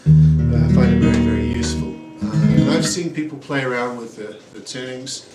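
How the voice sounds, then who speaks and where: Male, 40s, casual home gear reviewer, mid-pitched, rambling delivery, distant camera audio with room echo. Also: moderate pace. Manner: relaxed, rambling, many ums and uhs. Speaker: guitar reviewer. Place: small room at home, indoors. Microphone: camera mic at a distance. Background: acoustic guitar playing.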